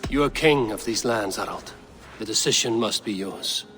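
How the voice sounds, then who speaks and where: An actor playing a guarded, loyal Viking warrior in a game, restrained, terse and measured. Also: male voice, on the low side, pushed and bright, faint music behind. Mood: calm, guarded. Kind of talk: dramatic scripted game acting.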